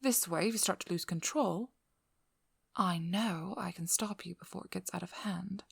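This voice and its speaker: A woman playing a stern, wry, protective vampire hunter in an intimate ASMR role-play, speaking in a measured, firm voice that often drops to a whisper. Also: lowish voice, gravelly, husky, no room sound, studio quality, exaggerated voice. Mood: firm, explanatory. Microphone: close microphone.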